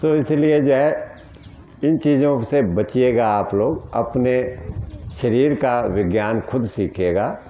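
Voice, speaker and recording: A man with a voice of ordinary pitch teaching natural health to a group. He explains earnestly and plainly, often asking rhetorical questions. The recording is a little dull and lo-fi, with faint hiss behind him. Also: slow pace. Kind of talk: teaching talk answering questions.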